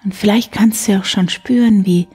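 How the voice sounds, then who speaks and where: A woman in her forties hosting a bedtime show, guiding the listener gently and soothingly with a soft, breathy voice. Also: medium pitch, moderate pace. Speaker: host of a bedtime story show. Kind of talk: guided sleep meditation, soft bedtime storytelling. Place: indoor room beside a wood stove. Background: soft music.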